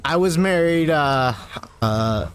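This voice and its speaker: Man, 30s, game streamer, animated and self-mocking, swooping intonation, slightly high voice, desk mic. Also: slow, clear, resonant tone. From United States. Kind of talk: live gameplay commentary, chatting with viewers.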